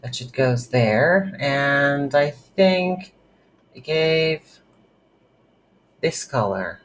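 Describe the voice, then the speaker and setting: A tutorial instructor thinking aloud in a halting, unscripted way while demonstrating game development on a computer. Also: mid-range voice, expressive. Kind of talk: unscripted screen-recorded software tutorial. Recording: background hiss, keyboard typing noise.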